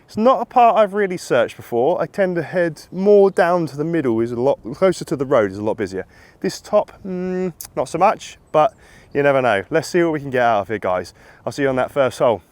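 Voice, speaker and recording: A man with a husky voice from a cold, chatting casually while metal detecting in an open field outdoors. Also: on the high side, expressive, fairly quick, darkish tone. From England. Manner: chatty, rambling, upbeat, laughs often. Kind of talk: casual outdoor vlog chat.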